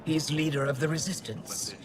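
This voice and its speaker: An actor playing a guarded alien city governor in a video game, speaking in a formal, reserved, clipped way. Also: male voice, fairly high pitch, normal speed, crowd noise, close to an everyday voice. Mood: stern, guarded. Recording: faint background ambience.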